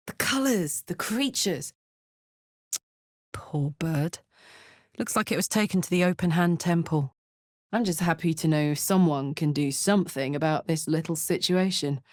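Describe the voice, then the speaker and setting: A hearty tiefling warrior woman for a fantasy video game. She is blunt and earthy yet warm-hearted, speaking at an unhurried pace with big swings in pitch. The recording is dry and close. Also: dry recording. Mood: warm, tender. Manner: expressive, informal, blunt and hearty. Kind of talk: video game character voice acting.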